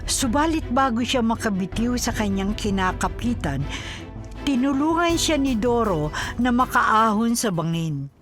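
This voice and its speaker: A woman's voice: a little high, animated, a little slow, music in the background. A woman with a Filipino accent reading scripted radio drama narration.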